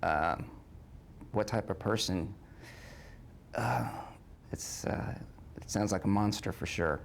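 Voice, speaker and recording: A man in his forties with an American accent speaking haltingly and reflectively in a personal interview. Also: deep voice, gravelly, a little hiss or hum. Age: 40s. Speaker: interviewee, victim's son.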